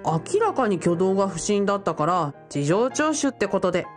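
A woman's voice: mid-range voice, very animated, moderate pace, faint music behind, lightly acted. A woman playing a polite, eager young man who works in a bookshop, deferential and explanatory, in a radio drama. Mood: polite, reporting. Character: polite young man, bookshop part-timer, eager self-styled fiancé.

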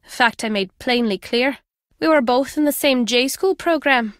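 An older British ex-spy, video game character, high-pitched, sardonic, guarded but fond, close dry sound. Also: female voice, a little slow, no room sound. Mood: wistful, grave.

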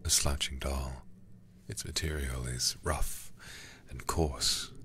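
A man reading a horror game aloud in ASMR style, hushed, breathy and deliberate, close to the microphone. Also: very deep voice, fairly even intonation, noisy background. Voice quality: breathy, whispery. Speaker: livestreamer playing a horror game.

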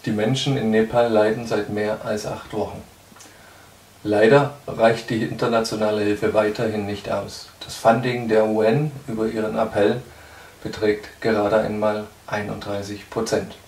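Low-voiced man in an echoey room. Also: flat delivery, moderate pace, a little mellow. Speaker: charity spokesman. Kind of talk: prepared news update to camera. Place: small indoor room. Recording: echoey room, distant microphone.

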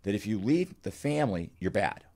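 A man's voice: on the low side, sing-song, brisk, vocal fry, warm tone. An older man speaking as a guest psychologist, explaining things to a caller in a reassuring, validating way on a studio microphone. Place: indoor studio. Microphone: close studio microphone on an arm.

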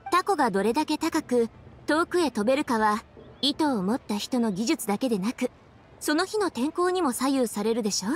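Young female game official: high register, poised, earnest, explanatory delivery. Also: animated, a little fast, soft background music. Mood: composed, explanatory. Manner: composed, articulate, explanatory. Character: poised, thoughtful young woman official devoted to tradition. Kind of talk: anime video game voice acting.

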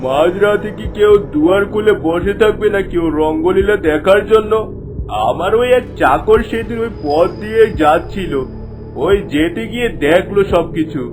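A man acts out a scheming cartoon landlord with a high-pitched voice. He is lecherous, haughty and menacing, and sounds self-righteous as he lords it over villagers, with faint music underneath. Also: normal speed, natural voice, low-quality recording. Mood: sly, persuasive. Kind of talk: cartoon voice acting.

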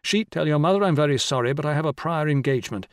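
A man's voice: fairly high pitch, animated, fast, no echo, studio quality, voice pushed up. A man voicing an irritable, dryly sardonic adult man in a story, curt and firm. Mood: angry, curt.

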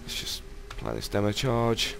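A young man with a croaky voice casually commenting on his gameplay, excitable and swearing. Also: on the low side, normal speed, a little hiss or hum. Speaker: let's play gamer.

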